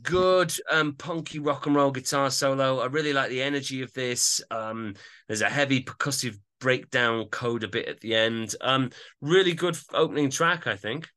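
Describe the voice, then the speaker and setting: A man's voice: medium pitch, normal speed, bright, forceful tone, clean tone. A man co-hosting a podcast, chatting casually and agreeably as he reviews an album.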